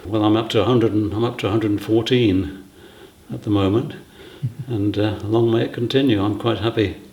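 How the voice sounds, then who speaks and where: A man's voice: lowish voice, fairly even intonation, moderate pace, mellow, muffled recording. A good-humoured man chatting casually and contentedly in an interview. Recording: echoey room.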